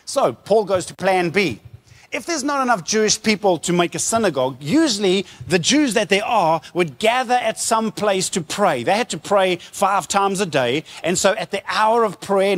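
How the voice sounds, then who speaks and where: A man preaching in an animated storytelling style, using rhetorical questions, emphatic repetition and informal retelling. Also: high voice, long reverb tail.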